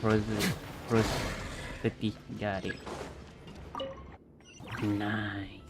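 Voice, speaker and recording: A man doing casual livestream game commentary in non-native English, haltingly thinking aloud, with game music behind him. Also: fairly low pitch, flat delivery. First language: not English. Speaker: streamer. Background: video game music.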